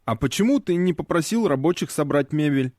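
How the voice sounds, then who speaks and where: A man's voice: on the high side, lively intonation, a little fast, darkish tone, dry recording, clean studio sound, natural voice. A man voicing a friendly, joking Swedish character in a scripted lesson dialogue.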